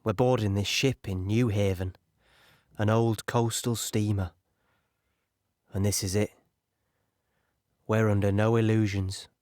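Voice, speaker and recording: An actor playing an earnest, naive young British soldier, plain-spoken and a little hesitant, in an audio drama monologue. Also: male voice, on the low side, steady tone, a little slow, long pauses, darkish tone, dry recording, clean studio sound, close to an everyday voice. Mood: grave. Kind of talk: dramatic monologue recounting wartime memories.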